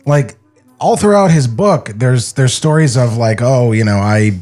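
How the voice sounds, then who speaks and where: Animated male podcast co-host. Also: medium pitch, measured pace, faint music behind. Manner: critical, sardonic. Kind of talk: casual comedic commentary.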